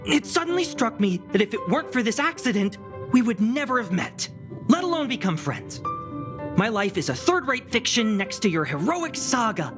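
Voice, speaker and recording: A woman voicing a proud, flustered young video game character who is huffy and dramatic and hides affection. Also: a little high.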